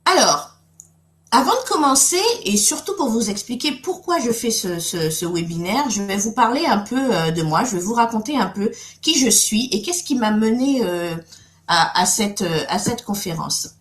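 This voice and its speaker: A woman's voice: medium pitch, very animated, normal speed. Middle-aged woman from Guadeloupe hosting a webinar, friendly, lots of fillers, roomy sound. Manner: friendly, many fillers and restarts. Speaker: webinar host and coach. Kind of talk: live webinar talk.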